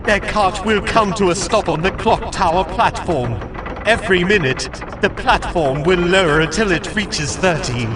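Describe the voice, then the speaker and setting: A man's voice: sits high, normal speed, music in the background, pitched above the performer's own voice, natural voice. A man voicing a goblin sidekick for an audio storybook, announcing menace with glee. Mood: menacing glee.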